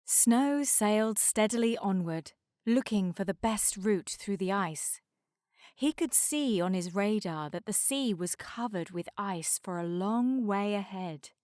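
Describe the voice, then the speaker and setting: A woman's voice: mid-range voice, animated, a little slow, a little mellow, dry recording. Woman reading a children's storybook, dry sound. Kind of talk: children's storybook read-aloud narration.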